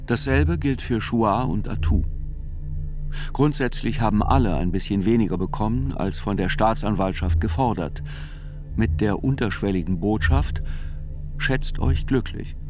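Low-voiced man narrating over music. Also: measured pace. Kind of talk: scripted literary reportage narration for a radio play.

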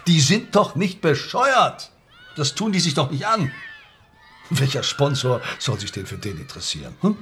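An actor playing a middle-aged football coach under suspicion in a radio drama, husky-voiced, emphatic and self-justifying. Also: male voice, medium pitch, fairly bright tone, a little hiss or hum. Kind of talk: naturalistic German radio drama acting.

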